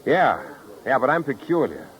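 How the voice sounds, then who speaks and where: A man's voice: mid-range voice, very animated, moderate pace, very noisy, close to an everyday voice. Man playing hard-boiled private detective, wisecracking, slangy, sardonic, mid-range voice, dull vintage radio recording. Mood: pressing, urgent. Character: wry, tough male private detective, world-weary and sardonic. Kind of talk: 1950s radio detective drama acting and first-person narration.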